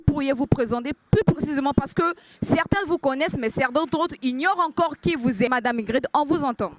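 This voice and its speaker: A man's voice: very high-pitched, slight background noise. A man, a journalist formally introducing a guest. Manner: formal presenting. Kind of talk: reporter introduction.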